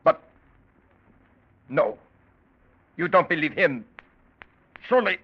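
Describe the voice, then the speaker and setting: An actor playing an anxious, insistent crooked doctor, emphatic and formal, in 1940s radio style. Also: male voice, fairly high pitch, big pitch swings, slow, breathy edge, full character voice. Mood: indignant protest. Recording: muffled old radio broadcast.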